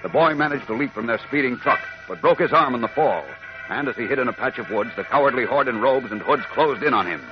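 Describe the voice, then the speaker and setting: A man's voice: medium pitch, expressive, quick pace. An American man narrating a 1940s radio serial in a dramatic, declamatory way. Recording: muffled 1940s radio recording. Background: music.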